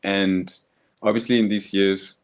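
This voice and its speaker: A man's voice: low-pitched, little pitch movement, measured pace, clean tone. A man hosting a conversational interview, listening attentively and often murmuring short acknowledgements as he asks his questions. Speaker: host interviewer.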